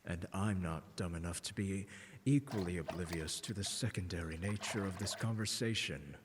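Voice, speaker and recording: A man playing a haughty, condescending, seductive scholar in an ASMR audio roleplay, speaking in a pompous, teasing drawl with deliberate emphasis. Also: low-pitched, noisy background, close to an everyday voice. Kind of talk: ASMR roleplay, scripted lecture to the listener. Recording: long hall-like reverb.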